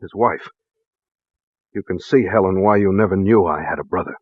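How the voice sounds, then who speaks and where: A man playing a grave, haunted husband in a 1940s radio drama, with a measured, formal, slightly husky delivery. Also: low-pitched, no room sound. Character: grave, secretive husband haunted by his mad brother. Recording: dull, dry vintage radio recording.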